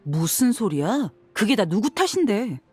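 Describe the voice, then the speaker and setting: A woman's voice: medium pitch, big pitch swings, a little slow, dark tone, breathy edge. A woman voicing a frank young desert mercenary, tough but caring, with a casual manner in a dramatic game scene. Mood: baffled.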